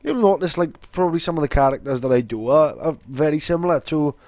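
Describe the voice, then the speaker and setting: A man's voice: on the high side, lively intonation, fast, darkish tone, no echo. A young man with a Welsh accent, casually explaining in a rambling, sweary way with lots of fillers. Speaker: vlogger.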